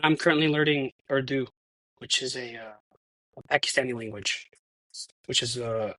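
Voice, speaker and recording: A man's voice: mid-range voice, moderate pace, sharp tone, poor audio. A man of thirty-one, a stuntman guest in a casual interview, talking reflectively with many restarts. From United States. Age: 30s.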